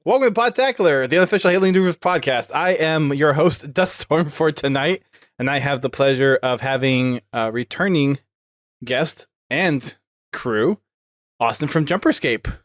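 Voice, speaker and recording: A man's voice: fairly high pitch, expressive, moderate pace, no echo. Casual male podcast host, dry close sound. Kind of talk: casual interview chat.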